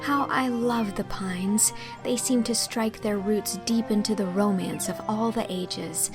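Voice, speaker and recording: A woman's voice: mid-range voice, fairly even intonation, a little slow, music in the background, lightly acted. A woman voicing a spirited, dreamy young college student in an audio drama, speaking expressively and earnestly.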